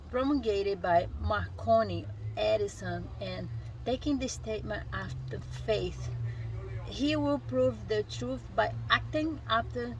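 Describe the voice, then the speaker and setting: A woman with a Brazilian Portuguese accent, a native Portuguese speaker, reads a book aloud outdoors while sitting on a rock. She reads haltingly, stumbles and restarts on words, and adds casual asides. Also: medium pitch, vocal fry, low-quality recording. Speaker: vlogger reading a self-help book.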